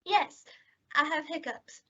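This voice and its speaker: A woman's voice: high voice, slow. A woman playing a ditzy, naive, sweet young woman with guileless, earnest delivery in an old-time radio comedy. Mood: innocent, flustered. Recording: dull lo-fi audio, loud noise.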